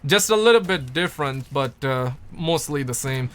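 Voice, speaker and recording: A man's voice: medium pitch, expressive, moderate pace, fairly bright tone. A young man from Pakistan, chatting casually on a livestream and answering viewer comments in a rambling, playful way that repeats words. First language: Urdu.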